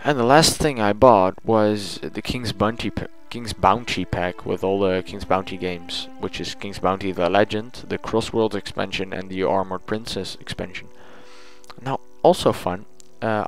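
A man's voice: lowish voice, normal speed. A man talking casually in an audio log as a gaming channel host, chatty and thinking aloud.

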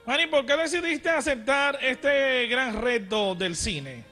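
A middle-aged man hosting a TV talk show, asking quick questions into a handheld mic. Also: very high-pitched, lively intonation, bright, forceful tone, soft background music. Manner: brief reactions and quick questions. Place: TV studio.

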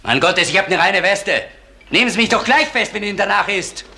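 A shady club boss in a crime radio drama. He is defensive and insistent, with a sharp, forceful voice that swings widely in pitch. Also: lively intonation, a little fast, creaky, slight background noise, exaggerated voice. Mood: indignant, defensive. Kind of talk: German crime radio play acting.

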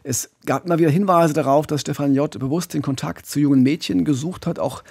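Male true-crime co-host, forties, husky and creaky, dry studio sound. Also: fairly high pitch, fairly quick, darkish tone, dry recording. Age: 40s. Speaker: podcast co-host. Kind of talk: true-crime podcast narration. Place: small radio studio. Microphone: close studio microphone with pop filter.

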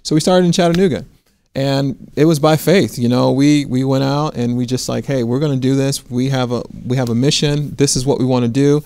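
A man's voice: medium pitch, steady tone, a little fast, darkish tone, clear, resonant tone, no echo. A middle-aged American man telling his story as an interview guest, earnest, often rephrasing as he goes. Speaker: interview guest, restaurant owner. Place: quiet indoor studio set with armchairs. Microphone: lapel mic.

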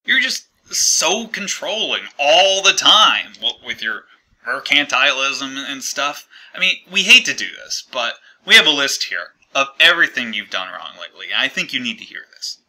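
A man's voice: medium pitch, very animated, normal speed, sharp tone, exaggerated voice, low-quality recording. A man playing the American colonies as an awkward, fed-up partner leaving a breakup voicemail in a hesitant, conversational, aggrieved way. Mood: aggrieved, exasperated. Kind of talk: comedic monologue as a breakup voicemail.

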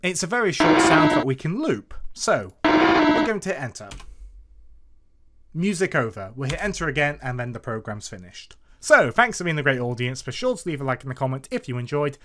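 A tutorial host with a very animated, swinging intonation explains things step by step. The delivery is scripted and instructional, moving methodically through a programming lesson as a voice-over. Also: mid-range voice, moderate pace, heavy background noise. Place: voice-over to a screen recording.

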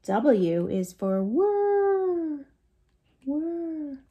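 An American woman in her forties hosting a read-aloud storytime for young children, in an animated, sing-song way with playful sound effects. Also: high voice, drawn-out words, lo-fi sound. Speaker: children's librarian, storytime host. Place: small lamp-lit room at home.

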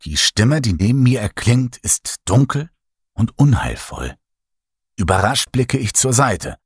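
Slow, husky male horror narrator. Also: mid-range voice, very animated, dry recording, clean studio sound. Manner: slow, hushed, dramatic. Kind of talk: first-person horror audiobook narration.

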